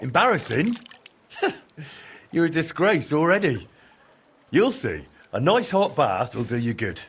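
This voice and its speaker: An actor playing a determined young blacksmith hero in a video game, coaxing and firm, with a husky voice. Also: male voice, a little high, sing-song, slow, full character voice. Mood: teasing, reassuring. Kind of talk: video game drama acting.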